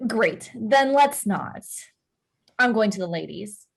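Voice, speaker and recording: A woman playing a blunt, exasperated, jealous wife with a husky voice in a screenplay table read. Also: medium pitch, lively intonation, takes it slowly, exaggerated voice. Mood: curt. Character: sharp, hurt, jealous wife, furious in crisis.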